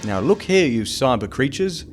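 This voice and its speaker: A man's voice: mid-range voice, big pitch swings, moderate pace, over loud music, natural voice. A man playing an anxious, indignant research base leader in a fan audio drama.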